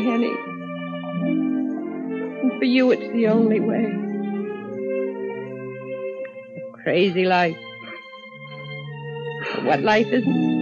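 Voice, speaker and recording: An actress playing a weary, loving young wife, earnest and gently reproachful, in a 1950s radio drama. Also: female voice, fairly high pitch, lightly acted. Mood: tender, resigned. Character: long-suffering, loving young wife and mother, weary, patient. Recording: dull 1950s radio recording.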